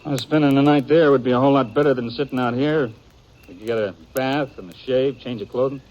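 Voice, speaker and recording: An actor playing a prickly, secretive outlaw in a 1950s radio western, speaking tersely with an American accent. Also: male voice, mid-range voice, a little fast, a little mellow, natural voice. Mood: defensive. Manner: terse, defensive. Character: prickly, guarded Old West outlaw, a secretive man. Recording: muffled, noisy 1950s radio recording.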